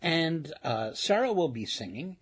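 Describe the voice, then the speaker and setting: A man's voice: on the high side, sing-song, unhurried, husky. A man hosting a radio show, giving a chatty, rambling introduction with playful asides. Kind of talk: casual spoken introduction.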